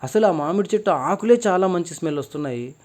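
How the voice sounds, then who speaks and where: A man's voice: on the high side, expressive, quick pace, darkish tone, close to an everyday voice. A man voicing a humble young male traveller in a children's story, respectful and a little hesitant. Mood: respectful, earnest. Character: humble, wary young male traveller seeking a guru's help.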